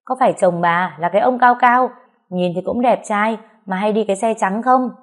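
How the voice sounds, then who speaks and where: Gossipy market neighbour for audio story, played by a woman narrator: nosy, scornful, quick to judge. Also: mid-range voice, pitched above the performer's own voice, close to an everyday voice. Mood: nosy, gossiping. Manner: nosy, judgmental, disparaging.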